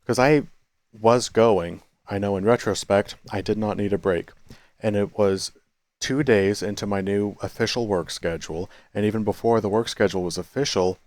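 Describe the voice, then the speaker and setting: A man's voice: fairly low pitch, darkish tone, no echo. A man vlogging in a rambling, reflective monologue, thinking aloud with frequent restarts and self-corrections. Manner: quits smoking, chews sunflower seeds. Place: cafe.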